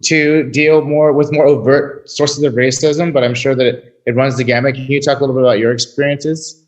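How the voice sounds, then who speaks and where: A man's voice: medium pitch, flat delivery, fairly quick, poor audio. Casual man hosting a talk. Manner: casual, hesitant, many fillers. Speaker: host. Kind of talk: interviewing guests.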